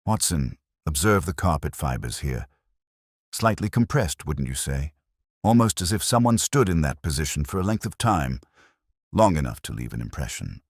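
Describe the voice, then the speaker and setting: A male narrator plays a keen Victorian gentleman detective in an audio story. The voice is very deep, with animated pitch, precise and probing as each point is set out deliberately. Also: dry recording, clean studio sound, full character voice. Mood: keen, assured.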